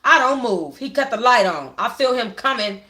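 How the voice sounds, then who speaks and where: A woman's voice: fairly high pitch, expressive, moderate pace, bright, forceful tone. Woman reading a story in an echoey room. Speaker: book club reader. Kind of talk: audiobook-style first-person narration.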